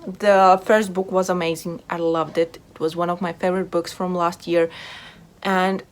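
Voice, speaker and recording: Woman, lower-pitched voice, casual book vlogger, informal delivery that leans on repeated words. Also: little pitch movement, moderate pace. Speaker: book reviewer video host. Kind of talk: casual book chat to camera.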